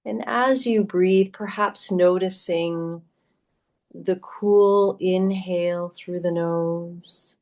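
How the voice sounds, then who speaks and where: Female meditation guide, slightly low register, unhurried and gentle, soothing instruction with long pauses. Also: steady tone. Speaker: yoga teacher. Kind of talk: guided meditation, yoga nidra.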